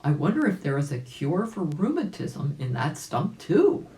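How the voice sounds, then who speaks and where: A woman voicing a puzzled, polite old gentleman rabbit in a children's storybook, mild, curious and trusting. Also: sits low, big pitch swings, moderate pace, reverberant. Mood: hopeful musing.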